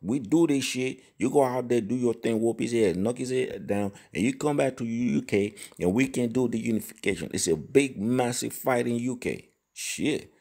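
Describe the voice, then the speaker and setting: A man's voice: mid-range voice, normal speed, few pauses, mellow. A man hosting a boxing channel, giving casual, profane commentary and often asking "you know what I mean?".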